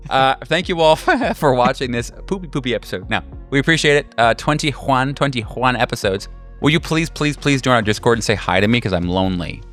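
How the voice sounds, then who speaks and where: A man speaking as game master and host of a tabletop horror game, in a halting, informal way with jokey asides. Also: medium pitch, lively intonation, fairly bright tone, soft background music. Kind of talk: narrating a tabletop horror game, casual banter.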